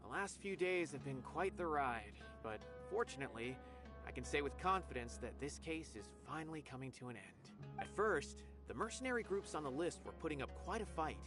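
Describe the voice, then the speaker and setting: A man's voice: on the high side, animated, a little fast, close to an everyday voice. A man playing a dutiful, earnest officer in a video game, reporting politely in articulate, orderly speech. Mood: earnest, relieved. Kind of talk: scripted video game acting. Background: music.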